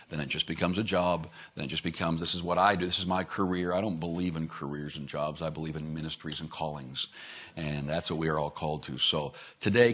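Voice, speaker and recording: A man's voice: deep voice, fairly bright tone. A man pastor opening a church staff meeting with a prayer and then speaking emphatically to his team.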